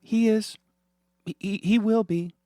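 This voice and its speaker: A man's voice: high voice, fairly even intonation, slow, mellow, no room sound, studio quality, voice pushed up. A man voicing an anxious, exasperated wife and mother in a comic story, emotional and pleading. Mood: reassuring.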